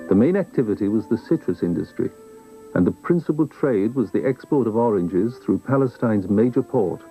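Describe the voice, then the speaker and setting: A man reading scripted documentary narration in a measured, formal manner. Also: fairly low pitch, animated, dark tone, soft background music, rough recording.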